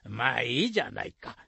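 A man's voice: mid-range voice, vocal fry, pushed and bright, husky, no room sound, heavily acted. An actor playing a genial, easygoing village headman with polite, avuncular rural speech in a radio drama.

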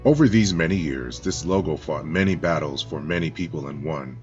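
Very low male voice, ceremonial narration, muffled recording, faint background music. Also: animated, a little fast. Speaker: church spokesman. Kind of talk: scripted tribute narration.

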